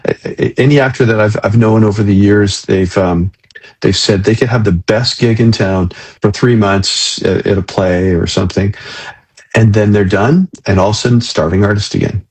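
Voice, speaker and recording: A man interviews in a conversational, reflective way. His voice is on the low side, with heavy creak and a husky grain. He often hesitates and repeats words as he works out a thought. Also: normal speed, a little mellow, poor audio. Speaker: interview host.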